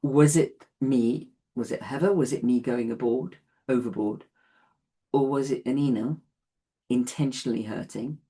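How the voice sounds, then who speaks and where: A woman's voice: a bass voice, dark tone, husky. A woman teaching an online class over a video call, reassuring and often affirming with "yeah". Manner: reassuring, repeats "yeah", self-deprecating laughs.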